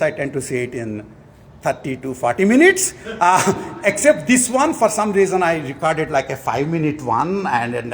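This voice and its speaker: Animated man giving a talk. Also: fairly high pitch, a little hiss or hum. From India. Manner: animated, joking asides. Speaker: AI professor giving an award talk. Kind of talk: conference award lecture. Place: conference hall before an audience.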